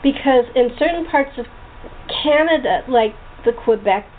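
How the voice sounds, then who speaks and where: A woman's voice: sits high, expressive, normal speed. A woman hosting a casual chat, laughing often and restarting her sentences. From United States. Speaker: host. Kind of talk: casual chat over a call. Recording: tinny phone-like line, faint noise.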